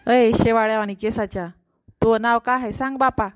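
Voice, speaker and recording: A woman with a rural Marathi accent voicing a bossy, scolding male stunt-show host in a comedy cartoon, with gruff, mocking banter. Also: on the high side, fairly even intonation, moderate pace, no room sound, clean studio sound, close to an everyday voice.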